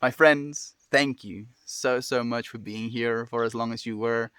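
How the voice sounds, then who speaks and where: A young man with a South African accent talks warmly to his livestream chat, gentle and affectionate, often adding "my friend". His voice sits at an ordinary middle pitch. The sound is dry and close, with no room echo. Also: steady tone, a little slow, clean tone. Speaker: livestream host reading chat.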